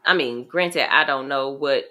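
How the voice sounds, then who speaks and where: A woman's voice: very deep voice, measured pace, bright, forceful tone. A woman co-hosting a faith podcast, chatting casually and encouragingly, often laughing. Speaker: podcast co-host.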